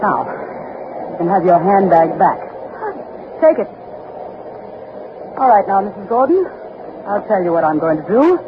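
An actress playing a cool, ruthless spy in an old-time radio drama, speaking in a clipped, commanding, politely menacing way. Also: female voice, mid-range voice, lively intonation, unhurried, pauses often, natural voice. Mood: menacing, controlled. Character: cool, ruthless female spy posing as a government agent. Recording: tinny, noisy old radio broadcast.

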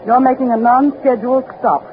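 An actress playing a cool, ruthless spy in an old-time radio drama, speaking in a clipped, commanding, politely menacing way. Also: female voice, a little high, steady tone, fairly quick, natural voice. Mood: menacing, controlled. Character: cool, ruthless female spy posing as a government agent. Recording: tinny, noisy old radio broadcast.

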